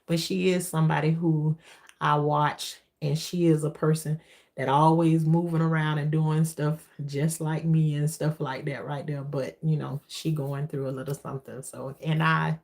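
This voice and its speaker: A woman with a deep voice chatting casually as a livestream host, testifying and repeating phrases for emphasis. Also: flat delivery, normal speed, creaky, darkish tone.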